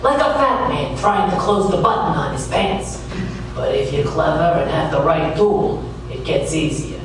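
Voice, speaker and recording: A man playing a wisecracking, world-weary freelance gumshoe, narrating deadpan in live radio theater. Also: on the high side, a little slow, background noise. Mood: wry. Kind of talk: hardboiled radio detective narration. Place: live stage in a pavilion. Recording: echoey hall from far off. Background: music.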